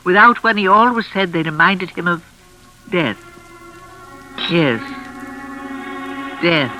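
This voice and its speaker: An actress playing an older widow in a vintage radio thriller, genteel and coaxing on the surface, controlling and unhinged underneath. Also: female voice, on the low side, lively intonation, takes it slowly, over music. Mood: eerily pleased. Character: sinister, falsely kind older widow, possessive and unhinged. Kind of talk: old-time radio thriller acting. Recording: noisy vintage radio recording.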